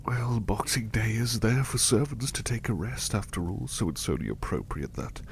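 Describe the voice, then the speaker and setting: A gentle butler played by a man speaks low and hushed, with a creaky edge and swooping, lively inflection. He is doting, polite and apologetic, often hesitating softly in an intimate ASMR roleplay. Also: normal speed, a little hiss or hum. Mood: grateful, under the weather. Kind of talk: ASMR roleplay, soft personal attention.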